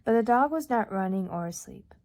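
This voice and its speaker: A young woman whose first language is Urdu reads a first-person novel aloud at a middling pitch. Her delivery is calm and measured. At times it sinks into soft, near-whispered passages. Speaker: audiobook reader. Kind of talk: audiobook narration.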